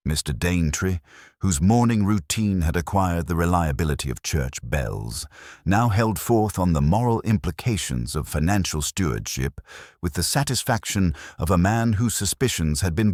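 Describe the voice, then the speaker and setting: A man reading scripted story narration in a measured, formal way, like an audiobook. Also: very deep voice, no room sound, clean studio sound.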